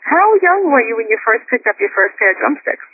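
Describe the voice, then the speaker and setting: Upbeat lady host, high husky voice, sounds like a phone call. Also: sing-song, fast talker, no echo. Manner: bubbly, enthusiastic, giggly. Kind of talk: casual interview chat. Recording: tinny phone-line audio.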